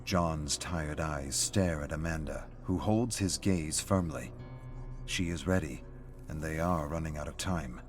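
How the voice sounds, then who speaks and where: A man narrates a scripted story in a very low, deep voice. His delivery is that of a prose reader, with music playing softly behind him. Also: a little slow.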